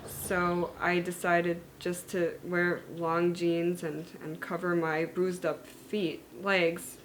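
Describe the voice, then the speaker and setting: A woman's voice: on the low side, flat delivery, takes it slowly, slight background noise, low-quality recording. Earnest woman in her thirties. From born in Germany, raised in the United States and Hungary. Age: 30s. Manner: earnest and candid, with fillers and self-corrections. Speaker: vlogger sharing her illness story. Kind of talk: personal talk to camera.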